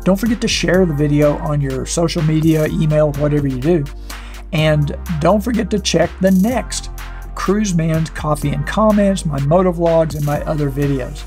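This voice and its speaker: An older man with a hoarse voice, hosting a motorcycle channel and answering viewer questions in a rambling, casual way, seated at a desk in a small studio with a clip-on mic. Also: on the high side, moderate pace, a little mellow, faint music behind. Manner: rambling, conversational, many fillers and restarts. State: hoarse, losing his voice. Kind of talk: casual talk to camera answering viewer comments. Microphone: wireless clip-on mic.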